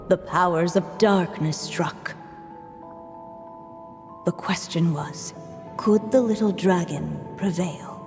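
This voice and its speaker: A woman voicing a tender, fading magical echo of a mother in a video game, speaking gently and solemnly like a storyteller. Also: fairly low pitch. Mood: solemn storytelling. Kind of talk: dramatic video game voice acting.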